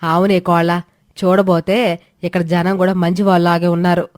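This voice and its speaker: A woman's voice: on the low side, level tone, moderate pace, a little mellow, no echo, clean studio sound, natural voice. A woman voicing an agreeable middle-aged village mother-in-law with a colloquial, matter-of-fact manner in a moral story.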